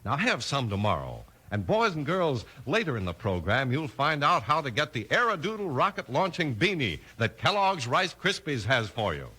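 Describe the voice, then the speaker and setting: Bright, animated 1950s radio announcer man. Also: medium pitch, moderate pace, few pauses, a little hiss or hum.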